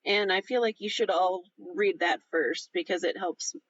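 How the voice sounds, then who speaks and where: A woman's voice: on the low side, normal speed, fairly bright tone, dull audio. A woman co-hosting a podcast, talking casually about books. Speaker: podcast co-host. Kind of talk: casual book chat.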